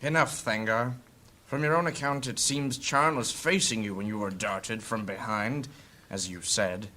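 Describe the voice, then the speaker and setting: A man's voice: on the low side, fairly even intonation, a little slow, sharp tone. A man playing a firm, fair-minded adventurer reasoning formally in a fantasy audio drama.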